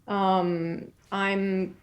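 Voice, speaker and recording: A woman's voice: mid-range voice, little pitch movement, drawn-out words. A woman in her twenties, born in Germany and raised in France and Brazil, chatting as a podcast guest and laughing easily. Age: 20s. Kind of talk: casual interview chat.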